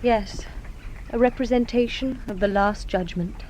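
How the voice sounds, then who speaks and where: A woman's voice: fairly high pitch, a little slow, a little mellow, slight background noise, close to an everyday voice, rough recording. An actress playing a determined, practical young woman in a radio drama, speaking earnestly and persuasively. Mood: sombre, decisive.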